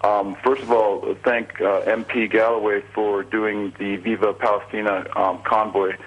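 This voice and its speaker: A man's voice: on the low side, flat delivery, measured pace. A man from Los Angeles calling in by phone, earnest and intense, with hesitations. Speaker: caller. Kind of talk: phone-in comment. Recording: muffled phone line.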